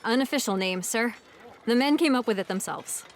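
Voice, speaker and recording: A woman's voice: fairly high pitch, lively intonation, normal speed, a little hiss or hum, close to an everyday voice. Woman acting an earnest young army captain. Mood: nervous, respectful. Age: young adult. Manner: crisp, deferential military address. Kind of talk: audio drama acting.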